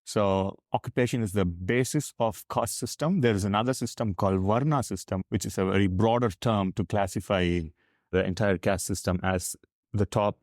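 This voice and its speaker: A man's voice: on the low side, normal speed, a little mellow, no echo, clean studio sound. A man from South India with a South Indian accent and Tamil as his first language, explaining earnestly as a podcast guest. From Tamil Nadu, South India. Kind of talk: candid explanatory conversation.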